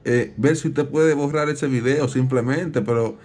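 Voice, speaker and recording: A man's voice: medium pitch, a little slow, darkish tone, lightly acted. A man with a Dominican accent playing an aggrieved, protective father who claims to be a police captain on a prank call, insistent and repetitive. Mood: pleading, insistent. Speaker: prank caller. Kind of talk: improvised prank phone call.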